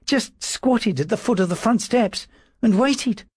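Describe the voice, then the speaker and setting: A man voicing a timid, frightened male secretary who stammers in a high, strained voice. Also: expressive, measured pace, darkish tone, breathy edge, no room sound, studio quality, heavily acted. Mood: nervous.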